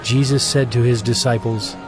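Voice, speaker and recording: A man narrating scripture from a script as a measured storyteller. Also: medium pitch, fairly even intonation. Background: music.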